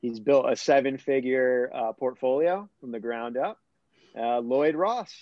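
A man's voice: medium pitch, measured pace, dry recording, highs cut off. A man in his thirties with an American accent, hosting a casual interview. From United States. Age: 30s. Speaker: interview host.

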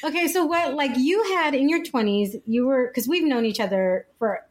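Loud, chatty woman in her forties. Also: high-pitched, normal speed. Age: 40s. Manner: chatty, animated storytelling. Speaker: podcast co-host. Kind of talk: casual chat.

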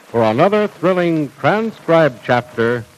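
A man announcing a 1940s radio serial and reading its sponsor's commercial in a smooth, emphatic salesman style. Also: a little high, big pitch swings, a little slow, rough recording.

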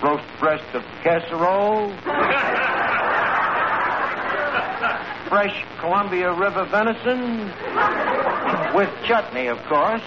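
An actor playing a brash, scheming tavern manager in a 1940s radio comedy, with a New York accent and a bluffing, word-mangling way of talking. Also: male voice, high voice, unhurried, over a noisy crowd. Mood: breezy, bluffing. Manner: bluffing, mangles grammar and fancy words. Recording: noisy muffled old radio broadcast, audience behind.

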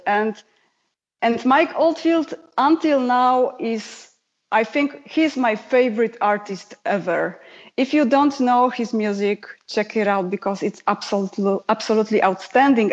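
A woman's voice: a little high, lo-fi sound. A woman with a Polish accent, a guest musician telling her story in a casual, chatty interview. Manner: chatty storyteller, laughs easily.

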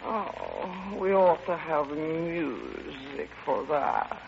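An actress playing an earnest, deadpan Soviet woman envoy who softens into romance, in an old-time radio drama. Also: sits low, very slow, creaky, full character voice. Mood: tipsy, romantic. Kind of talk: 1940s-style radio play acting. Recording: muffled, noisy old radio broadcast.

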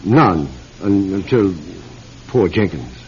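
An actor playing a bewildered, shaken aristocratic British publisher in an old radio drama, hesitant and refined. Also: male voice, deep voice, lively intonation, slow pace, slight background noise. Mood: faltering recollection. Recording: muffled old radio recording.

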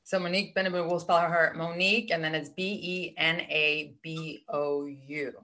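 A woman's voice: sits low, steady tone, moderate pace, sharp tone. A woman hosting a podcast, effusive and gushing with praise as she thanks her guest and wraps up the show. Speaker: podcast host. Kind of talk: casual podcast interview.

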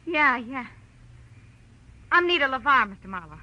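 A brassy American showgirl in an old radio crime drama. Her voice is high and very sharp, swinging lively in pitch. She is sassy, scheming and defiant, a tough talker. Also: moderate pace, muffled recording, heavily acted. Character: brassy, hard-edged female nightclub performer, scheming and defiant. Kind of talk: 1940s radio noir acting.

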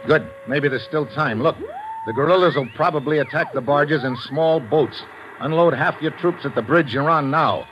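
An actor playing a tough, wisecracking American secret agent in a vintage radio adventure, clipped and matter-of-fact. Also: male voice, a little high, moderate pace, noisy background, close to an everyday voice. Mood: brisk, steady. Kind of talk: 1950s radio adventure acting with first-person narration. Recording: dull old radio recording, music behind.

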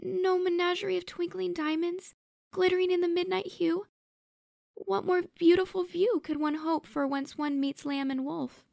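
A man's voice: very high voice, fairly even intonation, normal speed, creaky, a bit bright, dry recording, studio quality, full character voice. A man reading a story and voicing a frightened young woman performer who stammers and pleads.

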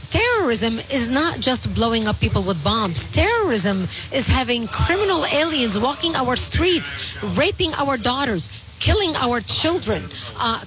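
A woman from Lebanon whose first language is Arabic speaks in a high voice. She talks as an interview guest, emphatic and insistent, stacking one point on the next. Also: background noise. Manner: emphatic, insistent, lists points in rapid series. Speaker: guest commentator on terrorism.

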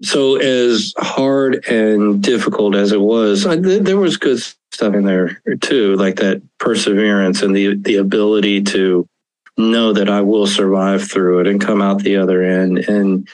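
A man's voice: lowish voice, a little slow, a little mellow, no room sound, low-quality recording. A man in his fifties, an author being interviewed, talking earnestly and chuckling often. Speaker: author guest. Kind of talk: conversational interview.

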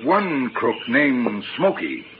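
Terse, loyal male scout sidekick, clipped broken English, lively pitch swings, vintage muffled radio western. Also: slow pace, very noisy. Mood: grave, reporting. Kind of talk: old-time radio western acting.

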